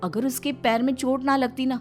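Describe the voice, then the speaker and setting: Woman acting a naive, high-voiced caring daughter-in-law. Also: flat delivery, moderate pace, darkish tone, faint music behind, close to an everyday voice. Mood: earnest, reassuring.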